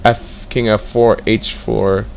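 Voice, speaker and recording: A young man teaching chess in a casual way, reading out moves and breaking into excited exclamations as he works through the position. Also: fairly low pitch, slow, gravelly, a little hiss or hum, rough recording. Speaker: chess tutor. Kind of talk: casual screen-share lesson, thinking aloud.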